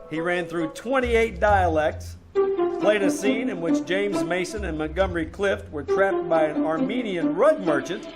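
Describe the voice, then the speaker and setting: A man with an American accent playing a wry, exasperated private detective in a live old-time radio drama, with deadpan, hesitant delivery. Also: high voice. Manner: deadpan, hesitant wisecracks and dry first-person narration. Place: small theater stage, live performance.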